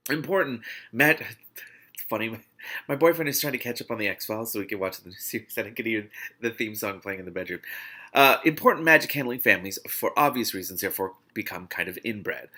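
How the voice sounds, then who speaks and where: A man reading a novel aloud expressively, in an informal, animated way that leaves room for his own reactions. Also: fairly low pitch. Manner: animated, informal, breaks off to comment. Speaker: reader and host of a read-along series.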